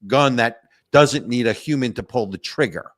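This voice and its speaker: A man's voice: mid-range voice, normal speed, no room sound, studio quality. A man hosting a casual political talk show, rambling with frequent restarts and asking "right?" and "you know". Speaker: host.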